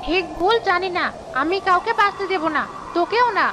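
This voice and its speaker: A woman voicing a vengeful female river ghost in an animated story, taunting and confrontational, with music behind her. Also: extremely high pitch, a little fast, background noise, voice pushed up. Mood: menacing.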